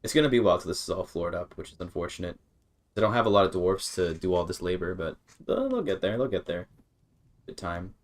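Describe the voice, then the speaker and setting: Low-voiced man streaming a game. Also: animated, fast. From Canada. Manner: rambling, repeats words, thinking aloud. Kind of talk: casual live gameplay commentary.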